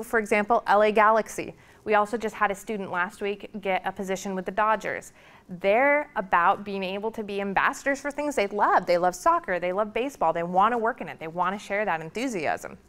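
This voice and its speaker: A woman's voice: medium pitch, fairly bright tone. Earnest woman lecturing on careers. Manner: earnest, encouraging, builds lists of questions. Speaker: public relations lecturer. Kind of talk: classroom lecture.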